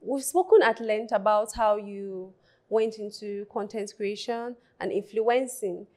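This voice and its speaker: A young woman hosting an interview show in a small studio on a boom mic, upbeat and affirming. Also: a little mellow. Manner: upbeat, affirming, quick "yeah"s. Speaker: show host. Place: small pink-walled studio. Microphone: studio mic on boom arm.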